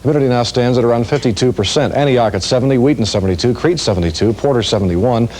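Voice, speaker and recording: A man's voice: medium pitch, quick pace, a little mellow, rough recording. An American man presenting a television weather forecast in a chatty, partly improvised studio style.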